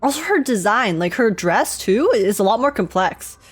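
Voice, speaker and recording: A young woman doing casual, giggly and expressive reaction commentary as she streams a game. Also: mid-range voice, normal speed, breathy edge. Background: game music.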